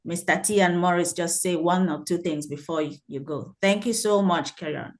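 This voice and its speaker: A woman hosting a community discussion, speaking briskly as she thanks the guest and wraps up. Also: on the low side. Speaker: host. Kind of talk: casual discussion.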